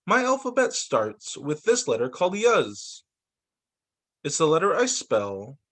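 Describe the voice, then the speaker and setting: Man, higher-pitched voice, very lively intonation, sing-song children's read-aloud, teacher storytelling. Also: unhurried. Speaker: teacher reading to young students.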